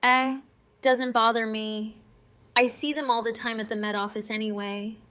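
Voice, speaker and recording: A woman's voice: on the high side, level tone, normal speed, a bit bright, close to an everyday voice. An actress playing a shy, unsure teenage girl in an audio drama, talking in a hesitant, casual, understated way. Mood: casual, guarded.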